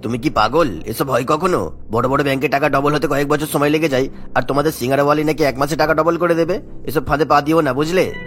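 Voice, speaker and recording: A man's voice: a little high, fairly even intonation, fast, fairly bright tone, faint music behind, close to an everyday voice. A man playing a stern, sceptical middle-aged husband and father, admonishing in a cartoon. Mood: scolding. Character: scolding, sceptical husband and father of a grown daughter. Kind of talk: Bengali cartoon voice acting.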